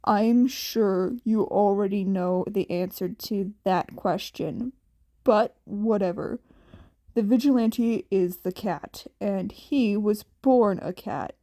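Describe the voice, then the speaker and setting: A woman voicing a gruff, tired, blunt but protective man with a grudging deadpan delivery in a fan fiction audiobook. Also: medium pitch, slow, dry recording, pitched below the performer's own voice. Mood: resigned, dry.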